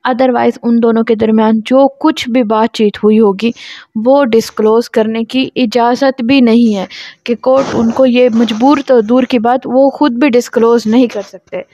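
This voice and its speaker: A woman's voice: fairly high pitch, fairly even intonation, moderate pace, mellow, dry recording, highs cut off. A woman with a Pakistani accent giving an explanatory law lecture, reading aloud and explaining in a didactic way.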